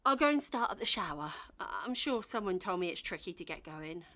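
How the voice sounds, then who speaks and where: A woman playing an anxious, wistful young bride in a naturalistic, gently comic audio drama, speaking in a hesitant, halting way. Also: fairly low pitch, expressive. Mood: shaken, sad. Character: hungover, anxious, wistful young bride on her wedding morning.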